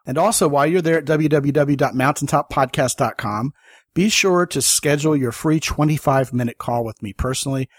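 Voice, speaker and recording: A man's voice: on the high side, a little fast, slightly rough, dry recording, studio quality. Chatty American man hosting a podcast. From United States (Maryland). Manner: chatty, enthusiastic, conversational. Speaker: podcast host. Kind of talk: casual conversation and show sign-off.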